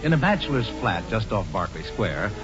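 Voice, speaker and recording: A man narrating a 1940s radio drama in a measured, literary storytelling manner. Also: fairly low pitch, big pitch swings. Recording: dull old radio recording with music. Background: music.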